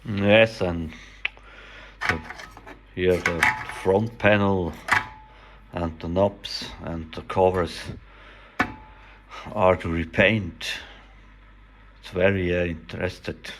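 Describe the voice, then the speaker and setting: A man with a non-native English accent casually narrating a hands-on demonstration as a radio hobbyist, speaking haltingly in short, simple phrases. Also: deep voice, steady tone, noisy background. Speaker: radio repair hobbyist presenting.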